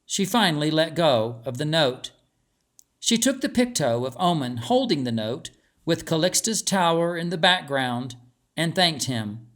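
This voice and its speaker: Man, slightly high voice, author-narrator, expressive storybook reading.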